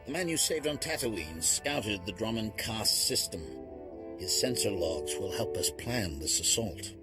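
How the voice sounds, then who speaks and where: An actor playing a sober Jedi strategist in a video game, giving a measured, matter-of-fact briefing. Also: male voice, fairly low pitch, expressive, over loud music. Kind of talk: video game cutscene acting.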